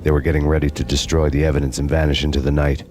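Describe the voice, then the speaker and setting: A man's voice: very deep voice, level tone, racing, a little mellow, soft background music. A man narrating a hardboiled noir story in a bleak, brooding monologue. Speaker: narrator reading a game story.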